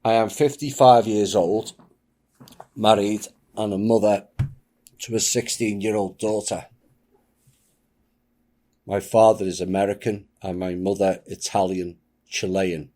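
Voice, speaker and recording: Grave male host, very low voice, unhurried solemn reading. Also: fairly even intonation, mellow. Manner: grave, measured delivery. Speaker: channel host. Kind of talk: solemn reading of a submitted account.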